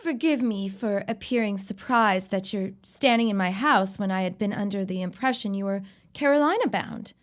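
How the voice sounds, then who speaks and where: A woman acting a proud young bride in an audio drama, with an earnest, deliberate and emphatic delivery. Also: medium pitch, natural voice. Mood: indignant. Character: proud, indignant young bride standing her ground.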